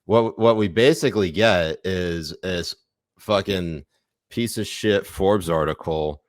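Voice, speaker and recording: Very deep-voiced wry podcast host. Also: male voice, slow, dry recording, studio quality. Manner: wry, profane, hesitant with many fillers. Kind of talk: casual political podcast chat.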